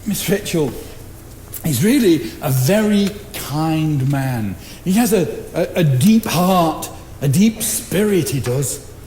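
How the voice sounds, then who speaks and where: High-voiced, stammering, kindly bumbler. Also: male voice, lively intonation, slow, dark tone. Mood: gently defensive. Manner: stammering, hesitant, folksy. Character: kindly, bumbling, loyal male friend of a recluse. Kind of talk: stage play acting. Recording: echoey theatre stage with background noise.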